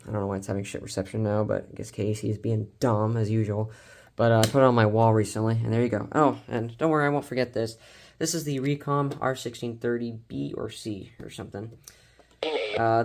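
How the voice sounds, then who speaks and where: A man's voice: medium pitch, a little mellow, slight background noise. A man casually showing a collection in an unscripted vlog, talking in an informal, slangy, rambling and somewhat hesitant way. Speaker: hobbyist collector presenting a collection video.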